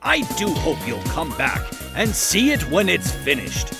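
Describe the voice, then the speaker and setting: A man voicing a timid, kindly older inventor in a cartoon comic dub, with a hesitant, gentle manner. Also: a little high, huge pitch swings, measured pace, creaky, music in the background, full character voice. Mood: gentle, sheepish.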